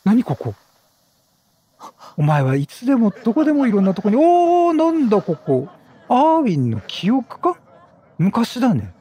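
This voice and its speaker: A man with a husky voice doing chatty, reactive let's-play commentary. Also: very high-pitched, huge pitch swings, moderate pace, pauses often, mellow. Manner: talkative, reactive. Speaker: game streamer.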